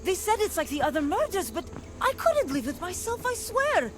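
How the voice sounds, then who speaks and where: A woman's voice: very high voice, big pitch swings, moderate pace. An actress playing an anxious, starstruck young woman in a video game, gushing and pleading.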